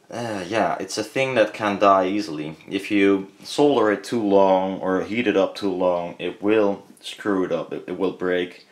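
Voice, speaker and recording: Low-pitched Dutch-accented man, rambling. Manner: hesitant, rambling, self-correcting. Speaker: hobbyist presenter. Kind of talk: casual hands-on product teardown commentary.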